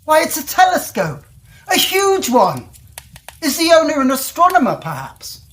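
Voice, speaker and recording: A woman playing a confident, educated gentleman traveller, telling the tale formally and deliberately in a period audio drama. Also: high-pitched, wildly swooping pitch. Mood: curious. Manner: formal, articulate, deliberate storytelling.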